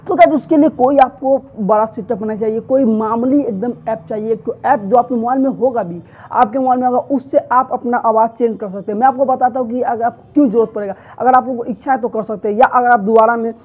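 A young man hosting an app tutorial, explaining steps earnestly while gesturing with his hands, recorded on a lapel mic in a small home. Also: extremely high pitch. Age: young adult. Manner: earnest, talks with animated hand gestures. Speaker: tech tutorial host.

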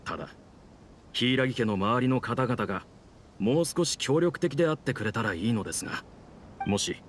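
An actor playing a dutiful samurai guard in an anime game, speaking formally, firmly and politely. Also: male voice, medium pitch, expressive, normal speed, a little hiss or hum, close to an everyday voice.

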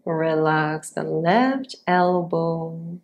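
A woman teaching yoga nidra as a guided meditation, giving short, measured instructions with long pauses between them. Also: low-pitched.

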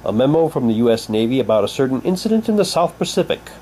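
A man giving a halting, unhurried, casual commentary as he shows and reads out items for an unboxing video. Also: mid-range voice. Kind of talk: casual unboxing commentary, reading packaging aloud. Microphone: handheld camera's built-in mic. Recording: noisy home camcorder, steady hum.

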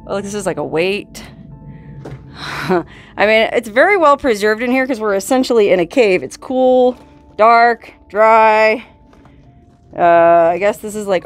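A woman in her forties, filming herself on a phone while exploring an old mine and a desert canyon, talking casually with awed exclamations and laughs. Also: medium pitch. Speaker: solo explorer vlogger. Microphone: handheld phone at arm's length.

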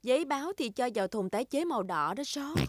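A woman's voice: fairly high pitch, big pitch swings, quick pace, very noisy. A woman voicing a practical, patient mother pig in a children's cartoon.

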